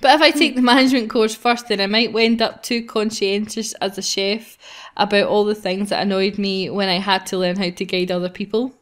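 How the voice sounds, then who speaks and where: A woman's voice: medium pitch, fairly even intonation, fairly quick. A playful, giggly woman hosting a gaming video, reading a visual novel aloud and bantering casually. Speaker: gaming video host, narrator.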